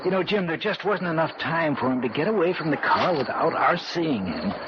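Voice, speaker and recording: An actor playing an uneasy young engaged man who narrates his eerie ordeal in a deliberate, measured old-time radio horror style. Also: a little high, lively intonation, lightly acted. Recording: noisy, dull old radio recording.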